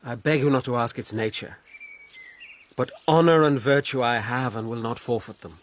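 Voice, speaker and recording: An actor playing an honourable young lord with an upper-class English accent in a period radio drama. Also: male voice, mid-range voice, normal speed, close to an everyday voice. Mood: pained.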